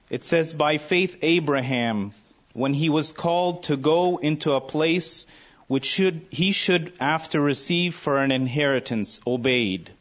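Male participant reading scripture earnestly, lo-fi recording. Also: medium pitch. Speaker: Bible study participant. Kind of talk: reading scripture aloud and reflecting. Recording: muffled lo-fi recording, slightly distant.

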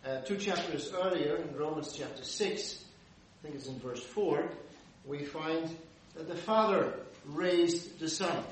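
A man's voice: on the high side, distant mic, slight background noise. Man citing scripture in an echoey room. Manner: measured, citing verses, with hesitant fillers. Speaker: Bible study participant. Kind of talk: Bible study discussion.

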